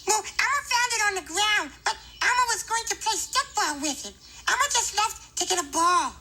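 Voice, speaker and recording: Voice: very high voice, animated, normal speed, pushed and bright, heavily acted. A performer voicing a cheerful, childlike furry monster in a children's puppet show, giggly and speaking in the third person. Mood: earnest.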